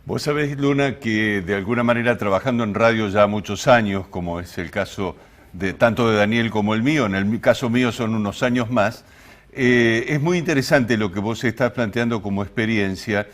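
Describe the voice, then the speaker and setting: A middle-aged man with an Argentine accent hosting a radio interview in a wordy, reflective way. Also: medium pitch. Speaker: radio host.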